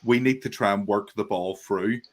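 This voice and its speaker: Northern Irish man, low-pitched, earnest podcast guest who restarts a lot. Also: normal speed, slight background noise. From Northern Ireland. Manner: earnest, hesitant with many restarts. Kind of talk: casual chat.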